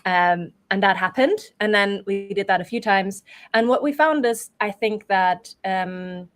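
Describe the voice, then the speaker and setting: A woman's voice: mid-range voice, a little slow, fairly bright tone. A woman from Europe speaking as an interview guest, explaining things conversationally in a thoughtful, qualified way. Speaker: guest, improv theatre performer and organiser.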